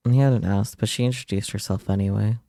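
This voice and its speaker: Dry, mellow-toned streamer reading aloud. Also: male voice, lowish voice, fairly even intonation, fairly quick, vocal fry, dry recording. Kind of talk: dramatic read-aloud narration and translated dialogue.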